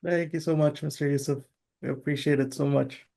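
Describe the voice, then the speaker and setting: Gravelly guy running an online summit, polite, little ums. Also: on the high side, fairly even intonation, a little fast, dark tone. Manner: polite, formal, some fillers. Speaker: event host. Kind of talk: moderating an online event.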